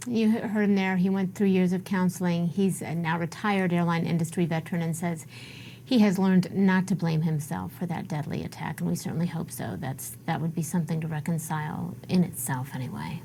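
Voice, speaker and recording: A woman's voice: lowish voice, steady tone, brisk, mellow, slight background noise. A woman with an American accent working as a news anchor, presenting and interviewing live on television.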